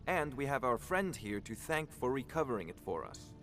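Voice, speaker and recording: An actor playing a pompous, scholarly court wizard in a fantasy video game, with a haughty, learned, wordy manner. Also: male voice, medium pitch, big pitch swings, moderate pace, a bit bright. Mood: self-satisfied. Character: pompous, scholarly court wizard, eager about ancient lore. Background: music.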